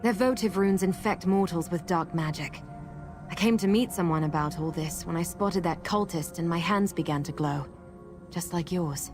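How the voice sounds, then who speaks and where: Low-voiced, guarded fantasy heroine, played by an actress. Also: female voice, moderate pace, over music, natural voice. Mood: urgent, businesslike. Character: guarded, urgent masked woman, cult-fighting fantasy protector. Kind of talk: video game character dialogue.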